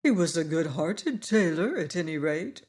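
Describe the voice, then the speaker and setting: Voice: mid-range voice, animated, moderate pace, darkish tone, lightly acted. A reader voicing a polite, kindhearted male tin woodman. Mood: kindly. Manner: polite and kindly.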